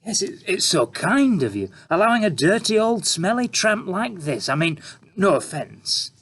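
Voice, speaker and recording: A slick, ingratiating newspaperman, played by a male storyteller. The voice rides a little above the reader's own, lively and sly. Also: high voice, moderate pace, husky, pitched above the performer's own voice, heavily acted. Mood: flattering. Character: smooth, flattering male journalist.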